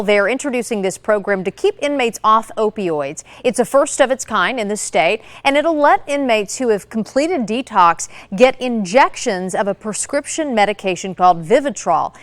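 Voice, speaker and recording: An American woman delivers scripted news as a television anchor. Her pitch is average for a woman, and the sound is dry and close, with very little room echo. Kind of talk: scripted broadcast news reading.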